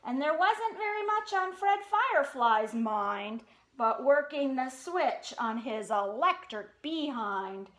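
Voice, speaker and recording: Storytime reader: woman, very high, animated voice, sing-song delivery, roomy echoey recording. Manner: sing-song, expressive, pauses to question young listeners. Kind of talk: animated read-aloud of a children's picture book with chatty asides.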